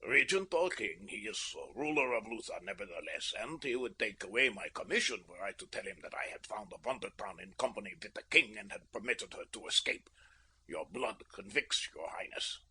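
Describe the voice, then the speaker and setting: A man reading an audiobook, voicing a polite, ironic army lieutenant in a measured, slightly lower voice. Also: lively intonation, pushed and bright, breathy edge, full character voice. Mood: courteous.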